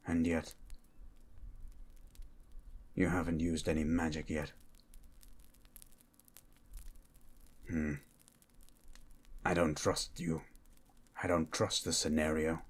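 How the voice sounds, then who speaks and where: A man playing a stern, menacing monster hunter in an ASMR roleplay, with a low, gruff voice and a terse, threatening manner. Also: exaggerated voice. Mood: dry, suspicious. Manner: terse, threatening, grunts hmm.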